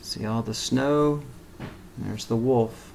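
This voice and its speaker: A man with a mid-pitched voice reads a storybook aloud as a teacher would for kindergarteners. He narrates the tale and steps out of it with simple explanatory asides, speaking directly to young listeners. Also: takes it slowly, gravelly, a little mellow, a little hiss or hum, rough recording. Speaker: teacher reading a picture book aloud to kindergarteners.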